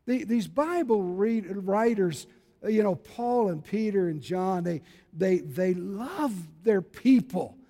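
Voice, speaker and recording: Older man around 70, very high voice, slow delivery, fervent sermon, emphatic exhortation, long hall reverb. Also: expressive, warm tone. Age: about 70. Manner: exhorting, emphatic, repeats phrases for weight. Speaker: preacher.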